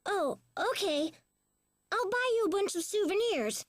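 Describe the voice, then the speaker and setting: A woman's voice: very high-pitched, lively intonation, measured pace, no echo, clean studio sound. A woman playing a timid, earnest little boy, meek and hesitant, in a video game dub. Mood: apologetic.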